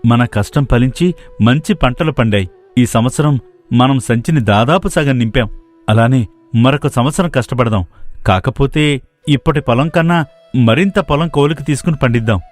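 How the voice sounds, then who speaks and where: A man's voice: medium pitch, moderate pace, soft background music, natural voice. A man voicing a determined, hardworking young villager in a cartoon moral story, earnest and persuasive. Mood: encouraging. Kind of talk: dramatised moral story dialogue.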